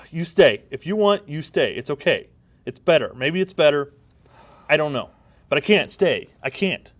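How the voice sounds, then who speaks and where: A man's voice: a little high, animated, normal speed, voice pushed up. A man playing a frantic, melodramatic young person on the run in an overwrought, pleading dramatic scene reading. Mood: desperate.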